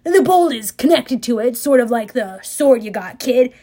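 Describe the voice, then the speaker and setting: A woman voicing a swaggering, menacing male war god in an audiobook, speaking loud and sneering. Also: on the high side, expressive, normal speed. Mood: cocky.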